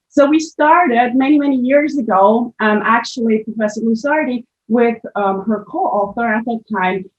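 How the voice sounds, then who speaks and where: A woman with a Swiss accent explains things step by step, with the occasional restart. She speaks in a somewhat high voice. The sound comes through a muffled, lo-fi video call line. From Switzerland. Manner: explanatory, methodical, with frequent restarts. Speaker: financial literacy researcher and presenter. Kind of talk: conference presentation over video call.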